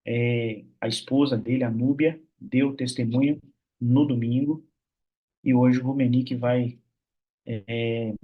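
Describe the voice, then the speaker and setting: A man's voice: mid-range voice, steady tone, slow pace, phone-quality sound. A man from Minas Gerais hosting an online church prayer meeting, chatty and full of fillers. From Minas Gerais, Brazil. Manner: warm, chatty, many fillers like "né". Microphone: video call.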